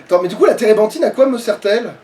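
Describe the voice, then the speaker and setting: High-pitched man gaming, distant mic. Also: lively intonation, racing, mellow. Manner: crude joking, swearing. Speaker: let's play gamer playing. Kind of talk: casual gaming chat with a co-commentator. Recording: distant mic, faint background noise.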